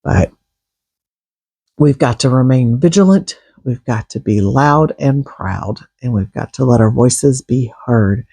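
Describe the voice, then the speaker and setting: A man with a husky, froggy voice from a cold, speaking in a mellow, dark tone. He talks earnestly to his viewers, restarting his sentences often. The sound is dry and close. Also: mid-range voice, animated, a little slow, dry recording. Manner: earnest, with frequent restarts and coughs. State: getting over a cold, froggy voice. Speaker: vlogger. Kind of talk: casual political commentary to camera.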